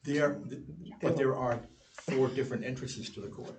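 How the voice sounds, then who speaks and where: A man with a New Hampshire accent, talking quickly and good-humoredly at a town meeting. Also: mid-range voice, a little mellow, a little hiss or hum. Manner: quick, self-deprecating. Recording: echoey room, distant mic.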